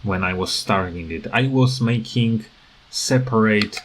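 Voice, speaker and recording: A man who speaks English as a second language, with a foreign accent, giving rambling, halting, unscripted commentary as a gaming video creator. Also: fairly low pitch, a little hiss or hum. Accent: non-native English. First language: not English. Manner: hesitant, halting, repeats words.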